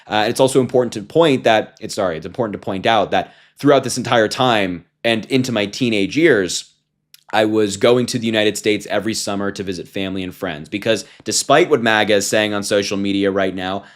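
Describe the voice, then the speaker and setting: A young man in his twenties with a slightly low voice delivers casual political commentary straight to camera. He mocks his critics in a combative, informal way, with plenty of fillers and restarts along the way. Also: a little fast. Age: 20s. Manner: mocking, combative.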